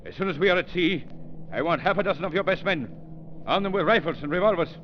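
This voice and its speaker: A man's voice: high voice, quick pace, natural voice. A man playing an imperious, threatening spy chief who barks curt orders in 1930s radio drama style. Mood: brusque, urgent. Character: imperious villainous spy chief aboard a ship. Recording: muffled, noisy 1930s radio recording.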